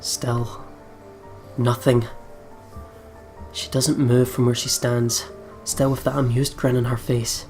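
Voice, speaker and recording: A man reading visual novel narration aloud as a let's player, dramatically, dropping to a hush at phrase ends. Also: medium pitch, flat delivery, slow, pauses often, soft background music.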